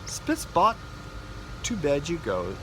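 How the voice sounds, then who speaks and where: A man voicing a proper, brisk magical nanny from a children's storybook, in a prim, expressive way. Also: fairly high pitch, heavily acted. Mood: brisk, bright. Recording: noisy outdoor backyard recording.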